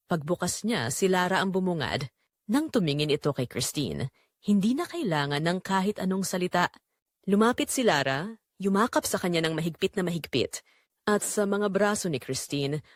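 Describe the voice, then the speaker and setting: Expressive woman narrating a dramatic story. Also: fairly low pitch, no echo, studio quality.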